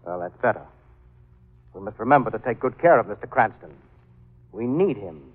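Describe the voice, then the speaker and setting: Courteous, menacing radio villain. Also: male voice, fairly low pitch, animated, normal speed, slightly rough. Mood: cold, controlled. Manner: courteous, controlled, quietly threatening. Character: coolly menacing, polite vengeful brother, man, controlling villain. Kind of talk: 1940s radio acting. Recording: tinny narrow old radio recording.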